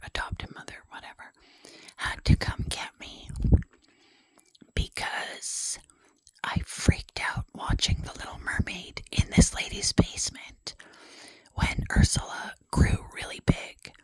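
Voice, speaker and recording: A woman whispering a rambling personal story in ASMR style, self-deprecating and full of fillers. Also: no room sound. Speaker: ASMR creator.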